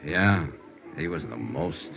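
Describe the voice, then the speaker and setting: An actor playing a wry, hard-boiled American investigator in a 1950s radio noir style, clipped and sardonic. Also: male voice, extremely low pitch, animated, takes it slowly, gravelly, music in the background, exaggerated voice. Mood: dry, casual. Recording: dull vintage radio recording.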